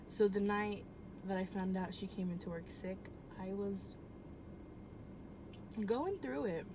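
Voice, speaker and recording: A woman's voice: medium pitch, takes it slowly. A young woman telling a personal story at home in a casual, rambling way, full of "um" and "like" and easy swearing. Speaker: vlogger. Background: air conditioner hum.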